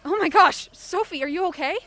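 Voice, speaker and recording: A woman's voice: very high voice, fairly quick, very noisy. A woman playing an inquisitive, easily alarmed young reporter in an audio fiction podcast, exclamatory and full of questions.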